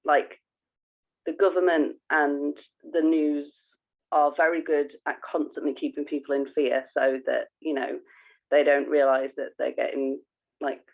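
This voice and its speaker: A woman's voice: sits low, flat delivery, a little slow, creaky. Phone-like woman, casual podcast co-host. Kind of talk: casual conversational podcast chat. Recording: thin, narrow phone-like line.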